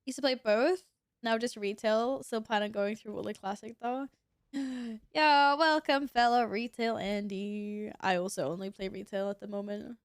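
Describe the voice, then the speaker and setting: A woman's voice: a little high, steady tone, normal speed, clean tone, dry recording, studio quality. A young woman streaming a game, sniffly from sneezing, chatting casually and reacting as she plays. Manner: chatty, reacts to gameplay, reads chat aloud. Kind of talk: casual gaming stream chat.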